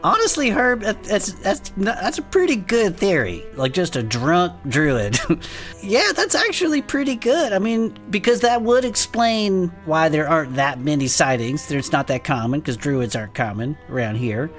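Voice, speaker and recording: A woman's voice: on the low side, big pitch swings, moderate pace, soft background music. A woman playing a folksy, wisecracking adventurer sidekick who co-hosts a cryptid club in an improvised comedic audio fiction. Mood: impressed, joking. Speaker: co-host.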